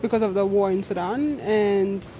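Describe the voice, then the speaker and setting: A woman who grew up in Kenya tells her story in a matter-of-fact way, pausing briefly here and there. A faint hum runs underneath. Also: mid-range voice, dark tone. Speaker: interviewee. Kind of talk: personal account.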